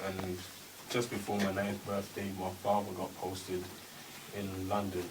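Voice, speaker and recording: Relaxed rapper dude from zambia, low voice, sitting back from the mic with some noise around. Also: male voice, a little slow. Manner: relaxed, easygoing. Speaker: rapper guest. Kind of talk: radio interview guest. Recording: distant mic with background noise.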